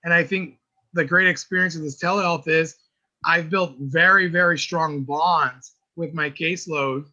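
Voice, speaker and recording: A man with a raspy voice who works as an early intervention teacher, talking earnestly in a casual podcast chat and often repeating words as he finds his point. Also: on the high side, steady tone, moderate pace, bright, forceful tone.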